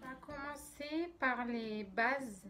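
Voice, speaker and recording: A woman's voice: high voice, takes it slowly, sharp tone, faint music behind. A young woman with a slight cold in her throat, chatting casually and giggling as she unboxes makeup. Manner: chatty, filler-heavy, giggly. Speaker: beauty vlogger.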